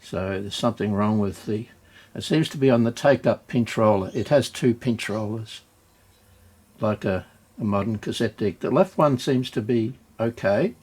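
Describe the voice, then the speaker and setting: A man giving a casual, unscripted hands-on demonstration as a hobbyist video host, hesitant and halting as he thinks aloud. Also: lowish voice, a little mellow, husky.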